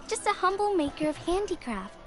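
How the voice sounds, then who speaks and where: A woman voicing a humble, polite young craftswoman in a video game, gushing and quick to apologize. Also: extremely high pitch, big pitch swings, over loud music. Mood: humble, warm. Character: young craftswoman, humble, polite, bubbly, easily carried away.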